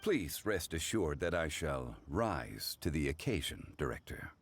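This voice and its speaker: Very low dignified scholar for a game, calm, formal, courtly. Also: male voice, unhurried, background noise, heavily acted. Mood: courteous. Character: dignified, erudite gentleman consultant, calm and formal. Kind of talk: animated video game voice acting.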